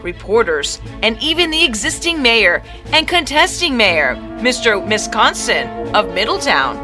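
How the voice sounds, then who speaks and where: Woman story narrator, highish voice, really lively reading, music going behind her. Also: unhurried. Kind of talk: scripted children's story narration. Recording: background music under the voice.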